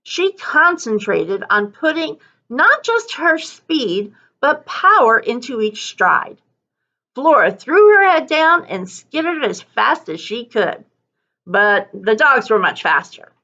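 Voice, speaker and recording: Female storybook reader, teacherly; highish bright voice, slow expressive delivery, modest lo-fi sound. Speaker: teacher reading a book aloud. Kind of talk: expressive children's story narration.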